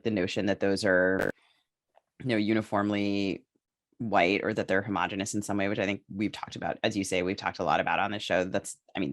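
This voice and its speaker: A woman co-hosting a podcast discussion, speaking casually and haltingly as she thinks her points through aloud. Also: extremely low pitch. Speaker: podcast co-host.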